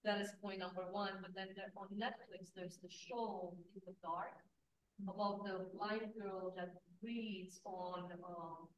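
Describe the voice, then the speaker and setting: A woman's voice: mid-range voice, steady tone, unhurried, lo-fi sound. Woman, audience comment heard from afar in an echoey hall with faint hiss. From Romania. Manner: earnest, thinking aloud with fillers. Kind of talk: conference discussion remark. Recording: echoey room, distant mic, faint hiss.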